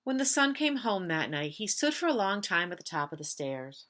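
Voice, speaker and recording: Woman, school storyteller, mid-range voice, children's read-aloud, drawn-out gentle refrains. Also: mid-range voice, big pitch swings, slight background noise. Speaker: school staff member reading stories to pupils.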